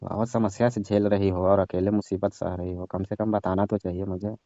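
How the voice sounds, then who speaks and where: A man's voice: low voice, flat delivery, brisk, warm tone, no echo. Man playing father-in-law, prodding, suggestive, colloquial, comic phone skit, dry muffled recording. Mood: concerned, suggestive. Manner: prodding, colloquial, mock-innocent.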